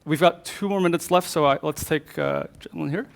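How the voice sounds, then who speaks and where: A man's voice: on the high side, a little fast, creaky, noisy background. A man co-presenting at a tech conference, answering questions in a conversational way with frequent restarts.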